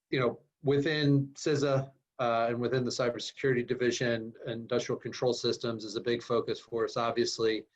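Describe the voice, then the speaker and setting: A man who is a government official introducing himself on a panel in a businesslike way with fillers. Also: medium pitch. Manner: businesslike, fillers, brisk self-summary. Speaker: government official, guest speaker. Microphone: video call. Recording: muffled video call audio.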